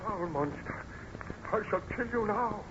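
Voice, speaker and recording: A man's voice: sits high, animated, a little slow, a bit bright. A man playing a tormented, guilt-ridden, defiant scientist in theatrical, emphatic old-time radio melodrama style. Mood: resolute. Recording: noisy, dull vintage radio recording.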